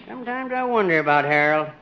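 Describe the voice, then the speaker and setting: A man's voice: a little high, expressive, moderate pace, noisy background. An American man acting as a folksy, wry small-town doctor and old friend in a 1950s radio comedy, given to chuckling. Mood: wry, friendly. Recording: muffled old radio recording.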